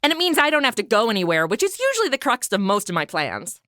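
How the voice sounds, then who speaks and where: A wisecracking, sarcastic young woman, played by an actress. Her voice is high and bright, with a lively lilt, recorded dry and close. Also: quick pace, dry recording. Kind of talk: comedy audio drama acting.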